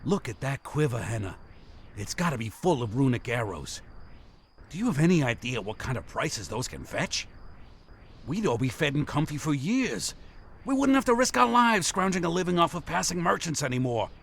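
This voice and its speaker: A man's voice: a little high, very animated, normal speed, creaky, a bit bright, husky, heavily acted. A man playing a scheming, theatrical bandit ringleader in a fantasy visual novel. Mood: menacing, smug. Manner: theatrical, pleading then threatening. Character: scheming bandit ringleader posing as frantic merchant.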